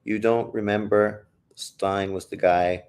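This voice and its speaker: A man's voice: lowish voice, fairly even intonation, takes it slowly, darkish tone. Profane man calling a fight live. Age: adult, old enough to say he got old. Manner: profane, blunt and joking. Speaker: livestream host reacting to a boxing fight. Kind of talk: casual live commentary, reading chat.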